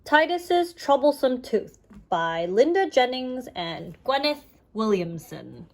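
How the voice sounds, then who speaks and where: Female children's audiobook narrator: high, slow-paced, animated storytelling. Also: a little hiss or hum.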